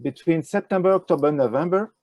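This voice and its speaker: An older man with a French accent, answering interview questions in a halting way with many fillers, speaking over a video call with a wired earphone mic. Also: fairly high pitch, a little mellow, no echo. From France. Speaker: ecology professor, interview guest. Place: indoors with a virtual nature backdrop.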